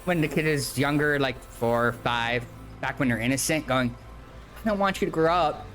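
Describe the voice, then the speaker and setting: A man's voice: mid-range voice, normal speed, fairly bright tone. A man in his early forties chatting casually and informally as he streams a game. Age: early 40s. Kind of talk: casual chat while gaming.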